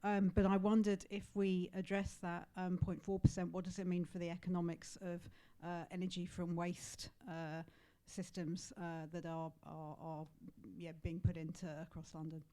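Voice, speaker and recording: A woman's voice: fairly low pitch, level tone, moderate pace, dark tone, smooth. A woman in the audience politely asking a hesitant question at a panel.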